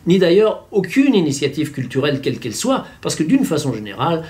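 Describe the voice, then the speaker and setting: A man's voice: on the high side, big pitch swings, fairly quick, dark tone. A man in his fifties giving didactic, wry opinion commentary to a camera in a room at home. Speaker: vlogger commentator. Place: small furnished room at home.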